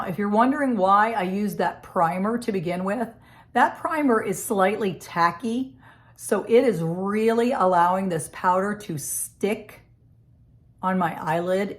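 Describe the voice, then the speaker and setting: A woman's voice: medium pitch, measured pace. Scratchy-voiced woman recovering from a cold. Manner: chatty, friendly step-by-step explaining. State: hoarse from a cold. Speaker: makeup vlogger. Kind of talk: casual makeup tutorial. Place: home office.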